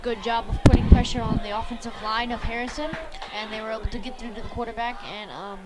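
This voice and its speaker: A woman's voice: fairly high pitch, fairly even intonation, quick pace. A woman giving unscripted color commentary on a football game. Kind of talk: unscripted sports analysis. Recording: clearly noisy live game broadcast.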